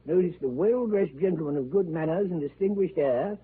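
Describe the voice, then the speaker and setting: An actor playing a confident gentleman at a card table, speaking in an assured, matter-of-fact way in a 1930s radio play. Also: a little high, expressive, brisk, close to an everyday voice. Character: confident English club gentleman at cards. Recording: tinny lo-fi 1930s radio broadcast, faint hiss.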